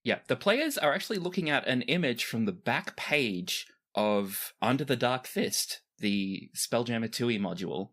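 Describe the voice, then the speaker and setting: A man's voice: mid-range voice, sing-song, normal speed, hardly any pauses, a bit bright. A man narrating a tabletop game as game master, conversational and explanatory with chatty asides. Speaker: game master of a tabletop role-playing podcast.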